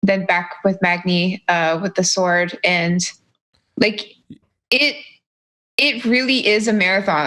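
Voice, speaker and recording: A woman's voice: on the low side, a bit bright. A woman co-hosting a casual gaming podcast, chatty and wry, trailing off with fillers. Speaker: podcast co-host.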